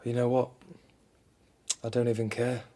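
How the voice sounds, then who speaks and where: An actor playing a weary, principled young doctor in a radio drama, speaking in an earnest, wry way. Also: male voice, medium pitch, monotone, normal speed, warm tone, lightly acted. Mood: rueful.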